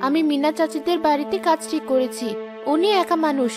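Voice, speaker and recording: A woman voicing a poor, self-sacrificing village mother in a cartoon, earnest and gentle, with music behind. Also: sits high, brisk, natural voice. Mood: earnest, dutiful. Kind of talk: moral-story cartoon acting.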